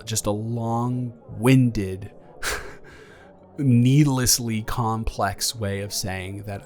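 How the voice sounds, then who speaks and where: A young man playing a nervous, tender ex-boyfriend in an intimate, improvised romantic audio roleplay, speaking earnestly in a halting, rambling way. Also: fairly low pitch. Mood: shy, tender. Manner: halting, rambling, earnest delivery with repeated restarts.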